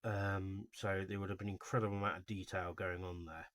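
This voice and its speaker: A man with a fairly low voice talks informally to his viewers, unscripted. His delivery is halting and rambling, and he often restarts and corrects himself. The sound is dry and close, with little room in it. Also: little pitch movement, a bit bright, no echo. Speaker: host of a video series on album cover art. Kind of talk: informal unscripted video commentary.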